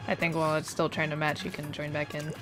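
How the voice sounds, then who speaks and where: A young woman streaming and chatting casually while gaming, relaxed and easygoing, often saying "like". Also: sits low, fast talker. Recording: loud game music behind. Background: game music.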